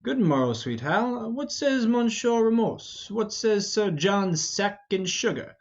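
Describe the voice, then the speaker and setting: Guy as a cheeky shakespeare robber pitching a scheme, jaunty, animated, bit dull sounding. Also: slow, exaggerated voice. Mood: mocking. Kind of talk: amateur Shakespeare play reading.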